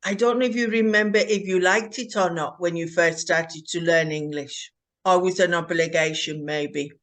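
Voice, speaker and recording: A woman hosting an English-learning interview, asking slow, clear, teacherly questions over a video call. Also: fairly low pitch, steady tone, fairly bright tone. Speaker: host.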